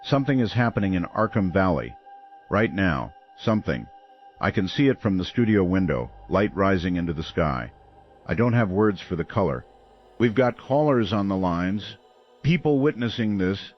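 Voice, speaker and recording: An actor playing a shaken, helpless radio host broadcasting through a catastrophe in a grave, halting way. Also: male voice, low-pitched, very animated, lo-fi sound, soft background music. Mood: grave dread. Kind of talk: radio drama acting, live call-in broadcast.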